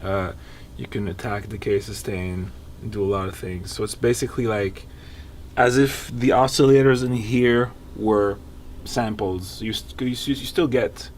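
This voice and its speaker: A man's voice: fairly low pitch, fairly even intonation, measured pace, darkish tone, slight background noise. A man in his thirties giving a casual technical walkthrough of synthesizers while playing them in a recording studio. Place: wood-paneled recording studio, indoors.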